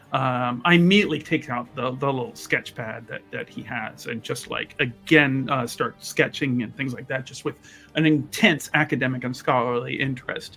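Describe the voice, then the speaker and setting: A man's voice: medium pitch, vocal fry, sharp tone. A man playing a tabletop roleplaying game, improvising casually with wordy, playfully formal turns of phrase.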